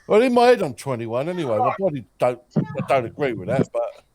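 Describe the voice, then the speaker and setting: A man's voice: medium pitch, very animated, fairly quick, a crowd in the background. A man in his twenties joking around in a group voice chat. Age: 20s. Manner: joking, mock-pleading. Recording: noisy voice-chat mic.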